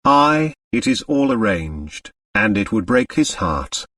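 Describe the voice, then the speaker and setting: A man plays a wealthy young wife in a storybook, distraught and full of dread. She confides dramatically, with a lively swooping pitch, heard a little away from the microphone. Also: takes it slowly, no room sound, studio quality, far from the mic, heavily acted. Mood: anxious. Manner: agitated, dramatic, confessional.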